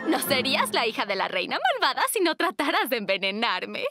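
A woman with a Latin American Spanish accent playing a bubbly, pampered teenage princess in an animated dub, gushing and sweet. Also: a little high, a little fast, dry recording, clean studio sound, full character voice. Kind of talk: animated series dub acting. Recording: music behind.

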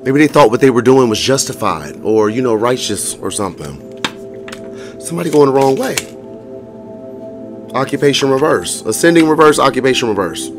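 A hoarse man giving a casual tarot reading to viewers, blunt and profane, often laughing as he talks. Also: medium pitch, animated, moderate pace, long pauses. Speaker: tarot reader. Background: music.

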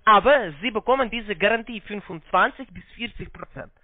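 A non-native speaker, a man with a foreign accent and halting, broken grammar, giving a phone sales pitch. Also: dry recording. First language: not German. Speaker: call-centre investment salesman. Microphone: telephone. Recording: muffled band-limited phone line.

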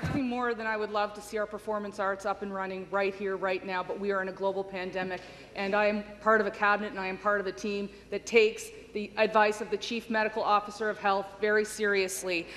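A woman's voice: mid-range voice, little pitch movement, fast, fairly bright tone, long reverb tail, a little hiss or hum. A woman answering firmly and defensively as a government minister in a large legislative chamber, on a desk microphone. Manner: defensive, firm. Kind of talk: parliamentary answer.

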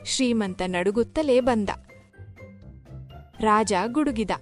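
A woman's voice: fairly high pitch, takes it slowly, soft background music. A woman narrating a moral folk tale in a scripted storytelling style.

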